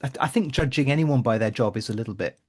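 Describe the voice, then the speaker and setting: A British man co-hosting a podcast in easy, joking conversation. His voice sits at an ordinary middle pitch and he rambles cheerfully from one aside to the next. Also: fast talker, a little mellow. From Britain. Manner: jokey, chatty, bursts into song. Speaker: podcast co-host. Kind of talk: casual chat.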